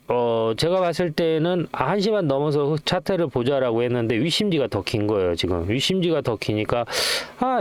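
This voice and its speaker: A man's voice: mid-range voice, darkish tone, no room sound. A middle-aged man hosting a stock trading channel, explaining charts informally and often putting rhetorical questions to his viewers.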